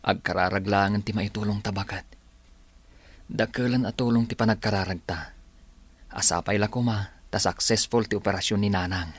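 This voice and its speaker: Man playing a low-voiced, humble Ilocano younger brother. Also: steady tone, moderate pace, no room sound, lightly acted. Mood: ashamed, hopeful. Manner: meek, pleading. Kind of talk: Ilocano radio drama acting.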